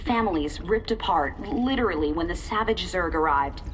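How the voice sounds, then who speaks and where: An actress playing a polished TV news anchor in a game cutscene. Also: female voice, on the low side, expressive, moderate pace, slight background noise, natural voice. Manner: crisp newscaster delivery.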